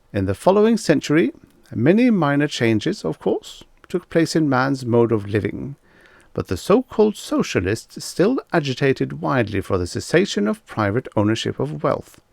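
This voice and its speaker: Man playing a pompous professor, animated and creaky. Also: mid-range voice, no room sound, clean studio sound, full character voice. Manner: didactic, declamatory, deliberate emphasis on figures. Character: pompous lecturing male professor of the future. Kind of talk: classroom lecture in an audiobook story.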